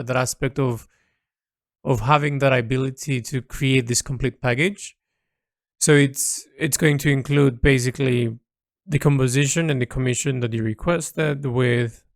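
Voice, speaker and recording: A man talking casually and reflectively in a personal audio log, halting as he searches for words and repeats himself. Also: medium pitch, no echo, studio quality. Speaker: creator recording an audio log.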